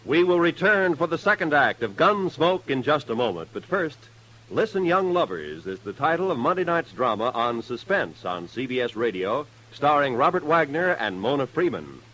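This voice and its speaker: A man's voice: medium pitch, big pitch swings, moderate pace, fairly bright tone, rough recording. A man with an American accent announcing formally in 1950s network radio style, polished and promotional.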